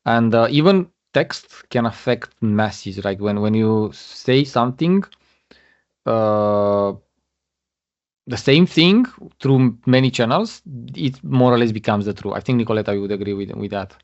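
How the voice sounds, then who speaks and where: Halting man presenting over a muffled call. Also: on the low side, lively intonation, darkish tone. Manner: halting, many fillers and restarts. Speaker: guest speaker. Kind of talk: webinar presentation. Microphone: video call. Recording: muffled lo-fi online call audio.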